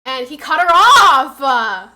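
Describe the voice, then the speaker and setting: A young woman with a nasal voice, stuffed up by a cold, talking to her viewers in a bright, slightly high register. She drifts loosely from story to story, packing her sentences with fillers and restarts. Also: very animated, takes it slowly. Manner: rambling, filler-heavy storytelling. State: has a cold, stuffy sinuses. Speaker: streamer. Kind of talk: casual livestream chat.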